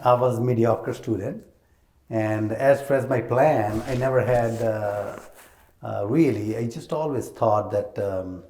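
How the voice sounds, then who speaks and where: Hesitant Pakistani-born man with a mellow voice. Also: on the low side, measured pace, slight background noise. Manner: hesitant, many fillers, candid and self-deprecating. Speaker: restaurant franchise owner, guest. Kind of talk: candid interview storytelling.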